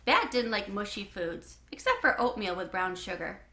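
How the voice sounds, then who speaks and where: A woman narrating a children's book in an expressive, animated way, with clear phrasing. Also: medium pitch, moderate pace, echoey room. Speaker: read-aloud host.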